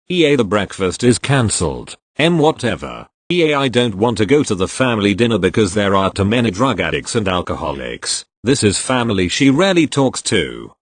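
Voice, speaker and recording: A man's voice: lowish voice, no room sound. A man narrating posts read aloud from an online forum in a plain reading voice. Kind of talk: scripted narration.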